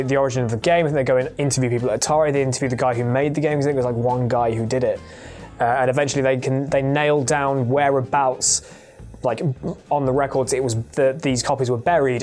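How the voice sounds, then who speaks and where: A man's voice: mid-range voice, darkish tone. A man telling a story casually as a panellist, explaining in earnest detail and often correcting himself. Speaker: panellist on a gaming show.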